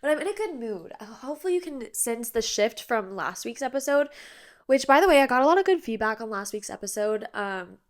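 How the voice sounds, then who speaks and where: A woman's voice: a little high, big pitch swings, brisk. A young woman in her twenties hosting a podcast from her bedroom, chatting casually, a bit nasal and congested, tired but bubbly, with lots of fillers. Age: 20s. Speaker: podcast host. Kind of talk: casual chat. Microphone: iPad on a tripod.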